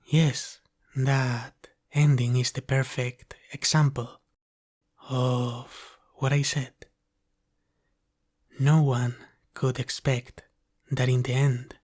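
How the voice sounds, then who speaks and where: Man playing a slow, formal half-dragon butler. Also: mid-range voice, darkish tone. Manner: formal, deferential, measured. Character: devoted, apologetic half-dragon male butler, caring and formal. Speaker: ASMR roleplay performer. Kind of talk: ASMR roleplay acting.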